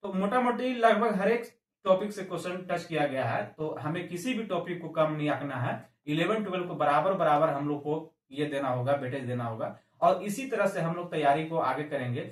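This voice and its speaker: Echoey, higher-pitched male coaching teacher. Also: normal speed. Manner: repeats and restarts phrases, mixes in English terms. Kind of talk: informal explanatory lecture to exam aspirants. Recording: echoey room, distant microphone.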